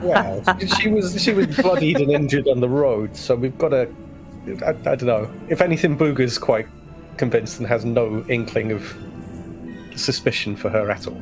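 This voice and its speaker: A man's voice: medium pitch, lively intonation, normal speed, low-quality recording. A man talking casually as a player in a tabletop role-playing game. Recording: music playing behind the voice. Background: music.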